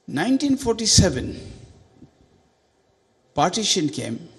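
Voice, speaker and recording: A man's voice: high voice, very animated, slow pace, a bit bright. A man in his eighties from Sindh, asking an earnest, emphatic question from the audience at a conference, speaking into a handheld microphone in a large hall. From Sindh, Pakistan. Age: 80s. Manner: earnest, emphatic, voice rising on key points. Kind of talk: public Q&A question.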